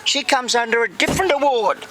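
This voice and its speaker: A storyteller voicing a placating, flustered male factory boss in a comic tale, halting and repeating himself. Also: mid-range voice, lively intonation, noisy background, pitched above the performer's own voice, natural voice. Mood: flustered explaining.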